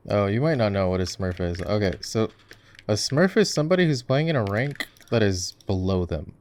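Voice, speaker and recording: A man's voice: fairly low pitch, expressive, moderate pace, darkish tone, lightly acted. A man voicing an easygoing gamer boyfriend in a casual, chatty roleplay, rambling playfully and interrupting himself as he explains. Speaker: voice actor, roleplay performer. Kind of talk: casual ASMR roleplay, chatty gaming commentary.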